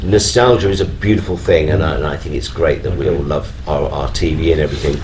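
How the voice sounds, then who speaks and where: Man, low voice, earnest and emphatic panel guest, noisy live event recording. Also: quick pace. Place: on stage at a fan convention.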